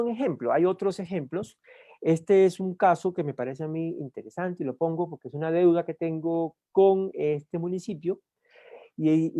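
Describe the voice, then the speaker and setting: Cuban man, university lecture, mellow dark voice, dull lo-fi sound, many "eh" fillers. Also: a little high, dry recording. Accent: Cuban Spanish. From Cuba. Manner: unhurried with frequent "eh" fillers and restarts. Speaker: guest lecturer. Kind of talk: university talk.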